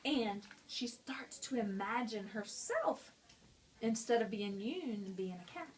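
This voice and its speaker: Friendly woman teacher, a touch high-pitched, walking students through a reading lesson. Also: animated, takes it slowly, heavy background noise. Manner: friendly, explanatory, talking to students. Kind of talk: explaining a lesson on a picture book.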